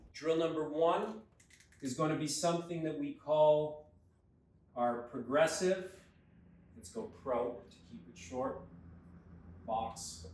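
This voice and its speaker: A man's voice: mid-range voice, darkish tone. A man coaching, explaining a lesson in a conversational way and stressing key words. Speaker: neuroperformance coach. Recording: roomy echo, mic at a distance.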